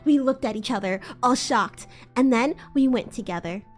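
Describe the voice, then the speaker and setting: A woman's voice: a little high, normal speed, faint music behind. A woman livestream host doing a giggly, theatrical comedic reading, often breaking off into asides. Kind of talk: live comedic dramatic reading of fan fiction.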